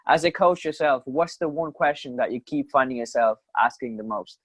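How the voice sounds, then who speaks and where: A man's voice: mid-range voice, moderate pace, fairly bright tone, slightly rough, dry recording. Affirming male interviewer, dry sound. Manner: affirming, short questions. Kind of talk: casual interview.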